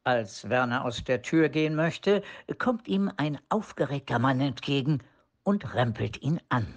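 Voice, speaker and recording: A man's voice: medium pitch, expressive, a little slow. A man reading scripted narration for a radio play.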